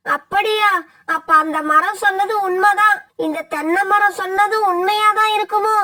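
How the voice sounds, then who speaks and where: A whiny female crow from an animated moral tale, played by a woman. The pitch is extremely high, and the manner is lazy and self-pitying. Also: moderate pace. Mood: rueful. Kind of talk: children's cartoon story dialogue.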